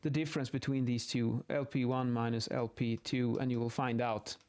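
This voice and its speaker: A man's voice: mid-range voice, level tone, normal speed, a little mellow. A man giving an informal technical lesson, thinking aloud and correcting himself as he explains. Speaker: acoustics instructor explaining measurement methods. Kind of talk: informal explanatory lesson, improvised while sketching.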